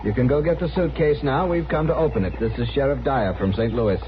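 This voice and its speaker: A man's voice: medium pitch, a little fast, lightly acted. An actor playing an impatient, indignant man in an old radio western, speaking in a curt, insistent way. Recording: dull, noisy old radio recording.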